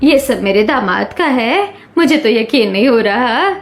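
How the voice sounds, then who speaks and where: A woman's voice: a little high, expressive, a little slow. A woman playing a gushing, showy middle-aged mother-in-law in a theatrical cartoon style. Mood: amazed, apologetic. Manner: exclamatory, theatrical. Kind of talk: Hindi cartoon story acting.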